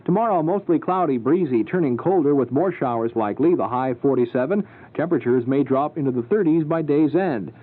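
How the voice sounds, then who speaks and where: A man's voice: on the high side, animated, fairly quick, few pauses, narrow, tinny audio. A man reading scripted news and weather as a radio anchor on a band-limited 1970s AM broadcast recording. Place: radio studio.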